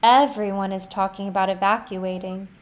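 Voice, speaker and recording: A woman's voice: mid-range voice, fairly even intonation, normal speed, close to an everyday voice. A woman voicing a caring, hopeful and steadfast village woman in a fan dub. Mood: wistful, resolute. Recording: music under the voice.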